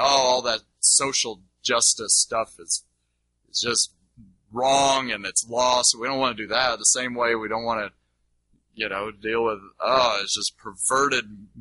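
A man teaching in an informal, halting way as he answers questions and clarifies his points. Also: lowish voice, pushed and bright, no echo, rough recording.

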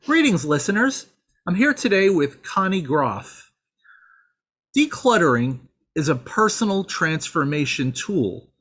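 Higher-pitched man hosting a podcast. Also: sing-song, fairly bright tone. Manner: measured, spells out web addresses slowly. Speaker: podcast host. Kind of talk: podcast hosting and read promo.

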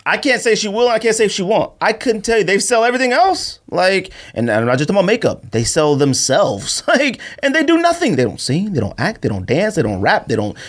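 A man's voice: sits high, very animated, brisk, few pauses. A man co-hosting a podcast, debating casually and argumentatively, repeating himself for emphasis. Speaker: podcast co-host. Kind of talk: casual sneaker-culture debate.

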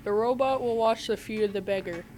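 A teenage girl playing a courteous, earnest factory manager in an amateur radio play, reading haltingly with many ums. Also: medium pitch, a little mellow, natural voice. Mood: earnest, assured.

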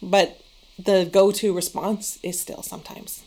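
A woman's voice: medium pitch, little pitch movement, unhurried, a little mellow, poor audio. A middle-aged woman from Canada, a migration researcher talking as a guest in a thoughtful, earnest way. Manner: thoughtful, earnest, academic phrasing. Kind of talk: conversational interview.

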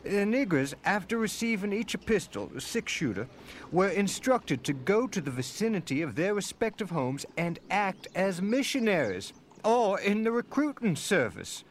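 A man's voice: high voice, big pitch swings, normal speed, slight background noise. A man playing a stern, formal Civil War-era official declaiming his letters in a dramatic reading. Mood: agitated, indignant.

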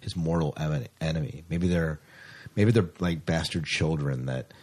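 A man's voice: very deep voice, normal speed, darkish tone. A man co-hosting a podcast, chatting casually and enthusiastically about science fiction news. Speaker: podcast co-host. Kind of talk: casual sci-fi news chat.

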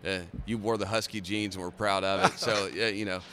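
Man, slightly low pitch, casual interview guest, conversational, faint busy-venue background noise. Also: level tone, brisk, pushed and bright. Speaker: podcast guest, seminary professor. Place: conference center during a busy event.

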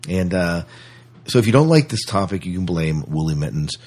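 A man's voice: low voice, very animated, normal speed, darkish tone. A man hosting a podcast in casual chat, rambling along and often rephrasing himself. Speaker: podcast host.

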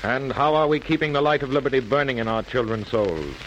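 A man's voice: medium pitch, fairly quick, close to an everyday voice. A man playing an earnest, oratorical narrator of a patriotic wartime radio documentary, in 1940s radio style. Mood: earnest, stirring. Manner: oratorical, rhetorical. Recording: dull, noisy old 1940s radio recording.

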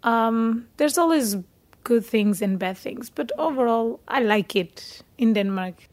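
Hesitant young Portuguese-accented woman, higher voice. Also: expressive, a little slow, noisy background. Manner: hesitant, searching for words in second-language English. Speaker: interviewee. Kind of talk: casual personal storytelling in an interview.

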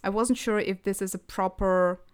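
Lady on a podcast, russian speaker, smooth clear voice, mid pitch, chatty with lots of uh's. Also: moderate pace. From Crimea, Ukraine. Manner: animated storytelling, frequent hesitations. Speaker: podcast guest. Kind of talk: casual interview chat.

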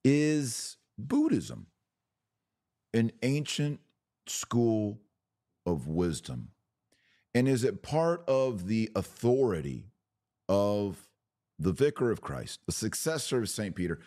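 Deep voiced guy doing religious podcast commentary, takes his time, leans on key words, dry close mic. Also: big pitch swings, takes it slowly, pauses often, mellow, no room sound. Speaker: podcast host.

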